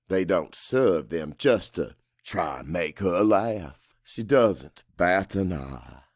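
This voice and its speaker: A man's voice: medium pitch, no echo, studio quality. A man narrating first-person dramatic fiction in a brooding, confessional manner. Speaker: audiobook narrator.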